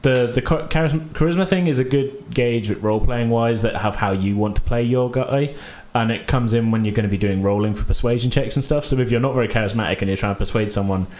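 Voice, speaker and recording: A man's voice: medium pitch, quick pace, hardly any pauses, darkish tone. A man running a tabletop game as game master, narrating and explaining in an informal, improvised way.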